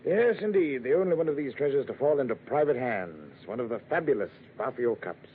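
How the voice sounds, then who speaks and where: A man's voice: mid-range voice, expressive, fairly quick, close to an everyday voice. A man acting as a pompous, insistent importer in a 1940s radio detective drama, speaking in a florid, emphatic way. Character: pompous, insistent male importer, a business client. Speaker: radio actor playing a client. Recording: noisy, narrow old radio recording.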